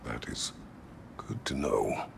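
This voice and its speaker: An actor playing a stern, brooding, battle-worn god of war in a video game, with a gravelly growl and terse, grave delivery. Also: male voice, a bass voice, big pitch swings, slow, whispered, heavily acted. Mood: grim. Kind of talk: dramatic video game acting.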